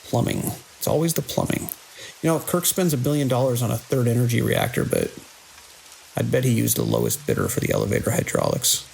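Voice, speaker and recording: A man playing a weary, skeptical security chief in an audio drama, speaking in a dry, authoritative, measured way. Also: medium pitch, big pitch swings, vocal fry, darkish tone, slight background noise, rough recording. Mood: wry, grumbling. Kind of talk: immersive audio drama acting.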